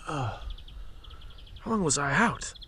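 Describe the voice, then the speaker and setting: A man's voice: on the high side, expressive, takes it slowly, bright, forceful tone, slightly rough, heavily acted. An actor playing a casual, perceptive young male royal guard in an audio drama. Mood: groggy, confused.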